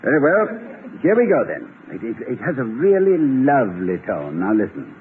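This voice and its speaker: Through a thin, scratchy old radio broadcast comes a genteel middle-aged college president. He is eager and a bit pompous, stammering politely, and his pitch moves about freely. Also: wildly swooping pitch, normal speed, phone-quality sound. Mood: hopeful. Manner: hesitant, stammering, courteous, slightly pompous. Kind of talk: old-time radio situation comedy acting.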